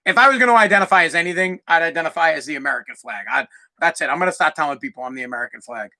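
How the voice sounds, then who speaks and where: A brash young man with a Boston accent, his voice high for a man and piercingly bright. He rants hard into a desk mic, swearing freely as he hosts his solo podcast. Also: racing. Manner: loud, profane, ranting. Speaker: podcast host.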